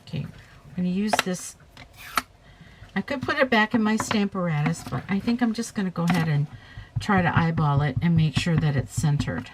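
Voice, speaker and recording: Woman host of a home craft tutorial, chatty and self-correcting, a bit hoarse, lower voice, faint background hiss. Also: moderate pace. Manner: chatty, talks while working, self-correcting. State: slightly hoarse, coming down with a cold. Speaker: paper craft demonstrator.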